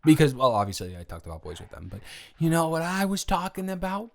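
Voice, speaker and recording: A man's voice: on the high side, big pitch swings, fast, creaky. A man playing a drunk young roommate secretly in love, rambling and stammering defensively in an improvised roleplay audio drama. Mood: flustered, exasperated.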